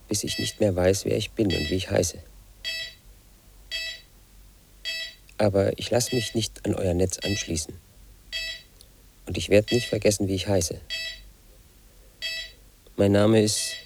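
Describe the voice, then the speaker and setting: A man's voice: low-pitched, noisy background, exaggerated voice. An actor playing a confused, paranoid man in his late thirties, telling a rambling radio play monologue. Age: middle-aged. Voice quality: gravelly, husky. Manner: rambling, confiding, sinks to a whisper. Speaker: lead actor.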